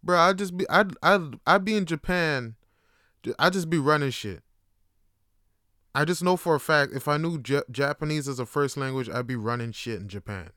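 A young man hosts his own talk show in a relaxed, rambling monologue. He is slangy and playful, and his voice comes across smooth and crisp. The sound is dry, with almost no room echo. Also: on the high side, normal speed. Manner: slangy, animated, joking asides. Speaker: podcast host. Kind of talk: casual monologue.

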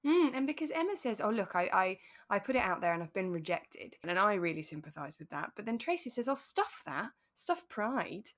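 A woman's voice: mid-range voice, very animated, fairly quick, hardly any pauses, a bit bright. A British woman co-hosting a podcast, chatting casually and thoughtfully, explaining her points in an agreeable way. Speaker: podcast co-host. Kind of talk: casual chat discussing a radio drama.

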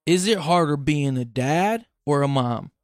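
A man's voice: on the high side, animated, unhurried, no echo, studio quality. A young man hosting a casual podcast, talking loosely with slang and lots of fillers. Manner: laid-back slangy talk, many "like" and "yo". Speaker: podcast host.

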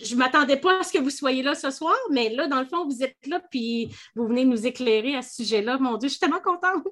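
Chatty Quebec woman, higher voice. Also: fairly bright tone. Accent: Quebec French. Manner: chatty, explanatory, quick restarts. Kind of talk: casual legal podcast chat.

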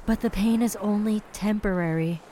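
A woman playing a calm, assured mermaid confidante in an audio drama, soothing and persuasive. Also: medium pitch, moderate pace, slight background noise, natural voice. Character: calm, consoling female mermaid confidante, unashamed of killing.

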